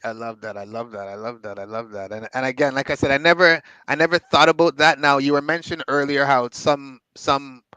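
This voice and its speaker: A man's voice: medium pitch, a little fast, hardly any pauses, pushed and bright, clear, resonant tone, dry recording, rough recording. A man hosting a business interview show, encouraging and affirming his guest. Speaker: host.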